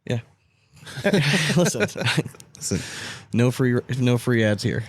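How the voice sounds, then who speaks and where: A man's voice: mid-range voice, sing-song, takes it slowly. A man hosting a podcast in casual, joking, informal sports chat, sometimes swearing. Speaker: podcast host.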